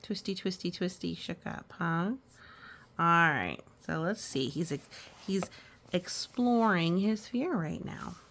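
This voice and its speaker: Storytime reader, female: chatty and reassuring, very lively intonation, some vocal fry. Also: lowish voice, slow. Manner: reassuring, musing, chatty commentary. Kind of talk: read-aloud with casual asides to children.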